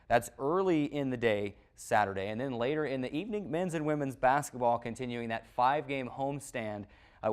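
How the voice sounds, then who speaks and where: A man's voice: lowish voice, smooth. An American man presenting a college sports recap show as its host, in the manner of a play-by-play announcer.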